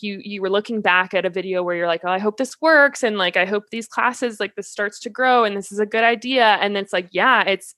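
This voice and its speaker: A woman hosts a relaxed podcast interview in a mid-pitched voice. She is affirming and informal, chatting like a supportive coach, and the sound is dry with no room around it. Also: dry recording. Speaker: podcast host interviewing guests; fitness class instructor and coach. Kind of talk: casual conversational interview.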